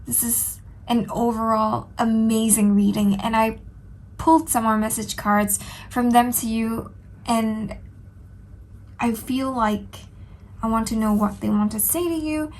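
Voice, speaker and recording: A woman doing a tarot reading, sounding slightly nasal from a cold, speaking gently and earnestly with frequent ums. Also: fairly high pitch, fairly even intonation, a little slow. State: stuffy nose from a cold. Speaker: tarot reader. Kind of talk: solo tarot reading to camera.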